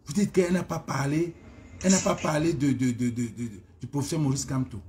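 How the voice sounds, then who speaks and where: A man's voice: mid-range voice, a little fast, darkish tone, slight background noise. A man with a Cameroonian accent ranting informally as a social media commentator, emphatic and hammering phrases again and again. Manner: emphatic, repeats phrases insistently. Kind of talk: informal live-stream rant.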